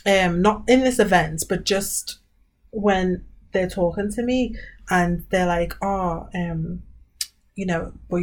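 Chatty British woman podcaster. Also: lowish voice. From Britain. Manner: animated, storytelling, lots of ums. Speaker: podcast co-host. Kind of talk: casual chat.

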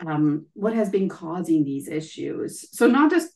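A woman's voice: deep voice, big pitch swings, unhurried, vocal fry, mellow, echoey room. A German woman with a German accent, a guest coach explaining things in casual interview answers, with frequent ums and maybes. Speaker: guest, health and happiness coach.

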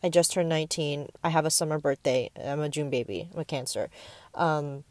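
A nineteen-year-old woman from the Bay Area chats casually, drifting between thoughts with plenty of "um" and "like." Her voice sits a little low for a young woman. It comes through earbud mics in a parked car, close and dry with no room sound. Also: fairly quick, no echo. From San Francisco Bay Area. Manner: rambling, easily distracted, frequent fillers. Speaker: podcast host. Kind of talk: casual solo podcast chat. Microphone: wired earbuds mic into a tablet.

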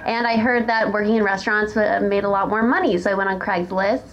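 A woman's voice: mid-range voice, steady tone, quick pace, highs cut off, faint music behind. A woman guest on a live stage telling comic stories in an informal, sweary, casual way. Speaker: podcast guest, former restaurant hostess. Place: stage in front of an audience.

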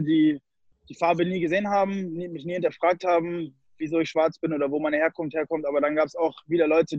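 Quick-talking young man, dull call audio. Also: fairly high pitch, a little mellow, no room sound. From Germany. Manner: mixes English phrases into German speech. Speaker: guest. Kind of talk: casual conversational interview. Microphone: call recording.

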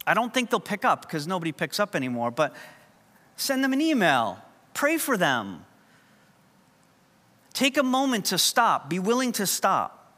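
High bright-voiced New preacher. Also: male voice, wildly swooping pitch, measured pace, long pauses. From New York. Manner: conversational, humorous storytelling. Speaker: pastor. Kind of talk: sermon. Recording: long hall reverb.

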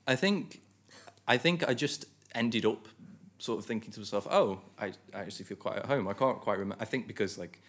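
A man's voice: fairly low pitch, a little fast. A young man with a Stoke-on-Trent accent chatting casually and telling anecdotes as a student guest on a podcast. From northern England, Stoke-on-Trent. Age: young adult. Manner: animated storytelling, quoting others.

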